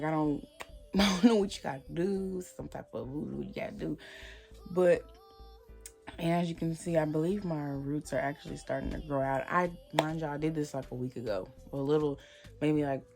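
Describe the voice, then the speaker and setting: A woman with a slightly hoarse voice, chatting casually and rambling as she reviews hair products. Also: deep voice, warm tone. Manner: chatty, rambling, lots of fillers. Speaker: hair vlogger.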